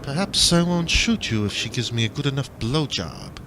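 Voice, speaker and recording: A man's voice: mid-range voice, expressive, moderate pace, noisy background, pitched above the performer's own voice, lightly acted. A man voicing a nasty, leering officer in uniform, taunting and insinuating, in an audiobook. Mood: leering menace. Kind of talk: audiobook character dialogue.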